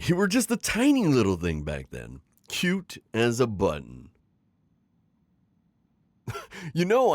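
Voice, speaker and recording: A man performs a middle-aged retired magical villain in an intimate ASMR roleplay. His low voice swings dramatically up and down as he coaxes and teases. The delivery is theatrical and sly, recorded very dry. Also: dry recording, exaggerated voice. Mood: delighted, teasing. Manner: theatrical, teasing, manipulative coaxing with sighs and chuckles. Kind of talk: scripted ASMR roleplay acting.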